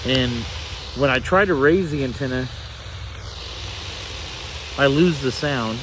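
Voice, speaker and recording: Male hobbyist, casual gadget demo, thinks aloud haltingly, noticeable ambient noise behind the voice. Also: mid-range voice. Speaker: hobbyist reviewer demonstrating a shortwave radio. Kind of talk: casual hands-on demonstration.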